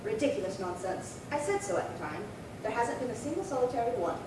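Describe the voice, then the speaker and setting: Radio drama role: actress as elderly female tenant, rambling and opinionated, lively pitch swings, recorded far off in echo. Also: fast talker. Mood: indignant. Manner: rambling, fussy, indignant recollections. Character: chatty, opinionated elderly woman tenant, long-time resident. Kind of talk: old-time radio crime drama acting. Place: live theatre stage reading. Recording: echoey hall, far-off mic, noisy rumble.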